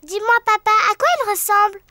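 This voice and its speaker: A performer voicing a cheeky, excitable piglet child in a children's cartoon dub, expressive and childlike. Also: very high-pitched, brisk, no room sound, clean studio sound. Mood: impatient. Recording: music bed behind the voice.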